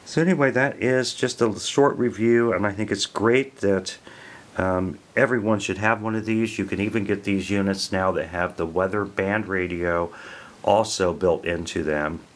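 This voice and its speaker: An American man giving a casual product review, explaining things in a plain, unhurried way. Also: on the low side, steady tone. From American Midwest.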